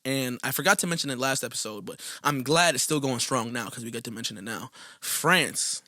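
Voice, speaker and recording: Podcast co-host, male, young adult: rapid, bright and forceful delivery, dry close mic. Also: medium pitch, dry recording. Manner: animated, profane, emphatic. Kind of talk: casual podcast chat.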